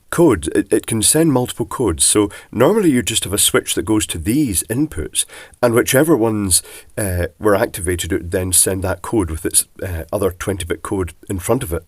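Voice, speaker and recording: A man with a cold, sounding bunged up and croaky, casually explaining electronics as he takes a device apart. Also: on the low side, a little mellow, dry recording, clean studio sound. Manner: chatty, rambling asides. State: congested from a cold, husky. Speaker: electronics teardown presenter.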